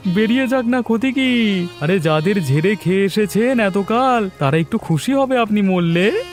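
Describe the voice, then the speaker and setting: A man's voice: sits high, expressive, moderate pace, soft background music. A man voicing a righteous, sarcastic young village householder in a cartoon fairy tale, moralizing as he speaks. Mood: defiant sarcasm.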